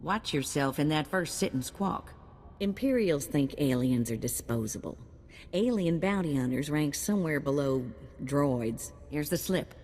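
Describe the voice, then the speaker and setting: Seasoned game fixer: deep voice, colloquial, dry humor, long reverb. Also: female voice, expressive, unhurried, a little mellow, soft background music. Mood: briefing. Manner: colloquial, wry. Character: folksy, seasoned female bounty hunt fixer. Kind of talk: video game voice acting.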